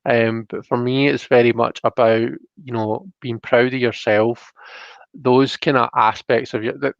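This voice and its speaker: Brief: Scottish male live-stream host, slow chatty delivery with creaky voice, reading comments and musing between chuckles. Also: medium pitch, flat delivery, dry recording, dull audio. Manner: chatty, hesitant, often chuckling.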